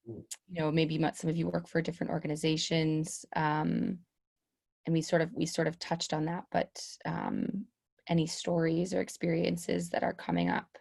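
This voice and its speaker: A young woman moderating an online discussion over a laptop video call, pausing often with fillers as she reads out questions. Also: low voice, gravelly, darkish tone. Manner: hesitant, many fillers. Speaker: webinar moderator. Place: room with sloped ceiling, indoors. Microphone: laptop webcam video call.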